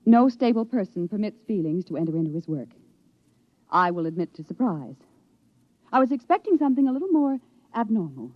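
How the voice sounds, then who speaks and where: A woman's voice: medium pitch, sing-song, fairly quick, dry recording. An actress playing a cold, controlled woman professor in 1950s radio drama, with clipped, clinical and formal diction. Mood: cool, clinical. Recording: muffled old radio recording.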